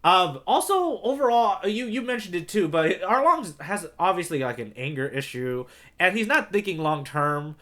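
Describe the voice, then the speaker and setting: A man co-hosting a podcast in casual discussion, analytical and reasoning out loud through questions. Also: sits high, very animated, normal speed. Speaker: podcast co-host.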